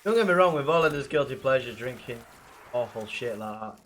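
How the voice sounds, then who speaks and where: A young man with a blocked nose and rough throat, chatting casually and swearing with viewers while playing a game. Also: mid-range voice, expressive, brisk, a bit bright. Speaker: livestreamer playing a zombie game.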